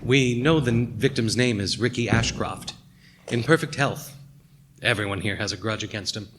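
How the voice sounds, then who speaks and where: An actor playing a wry, hard-boiled male detective in a noir radio play, with a dry, deadpan delivery. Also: fairly low pitch, moderate pace, noisy background, natural voice. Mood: businesslike. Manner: dry, world-weary, deadpan. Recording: music behind the voice.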